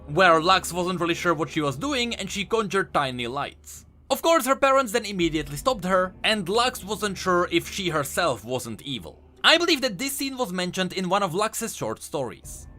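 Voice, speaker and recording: A man's voice: high voice, very animated, moderate pace, hardly any pauses, sharp tone. A man giving enthusiastic, emphatic scripted commentary as the host of a video game lore channel. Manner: emphatic, explanatory. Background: music.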